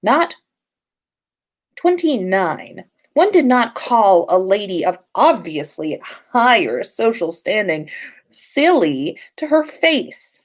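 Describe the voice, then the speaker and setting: Female reader as haughty schoolgirl witch in a story, imperious and curt, widely varied pitch. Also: sing-song, slow pace. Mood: haughty, exasperated. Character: snobbish, imperious teenage pureblood witch girl.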